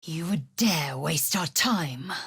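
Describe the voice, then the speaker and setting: Fierce githyanki warrior woman, deep husky voice, unhurried, haughty, martial, imperious, video game character. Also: expressive, no echo, clean studio sound, exaggerated voice. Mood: cold disdain. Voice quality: husky, raspy, breathy edge. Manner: clipped, imperious, deliberate delivery.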